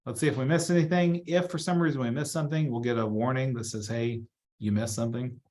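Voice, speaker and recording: A man giving a casual software walkthrough as a trainer, chatty and informal, thinking aloud as he works. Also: mid-range voice, steady tone, fast. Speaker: real estate trainer.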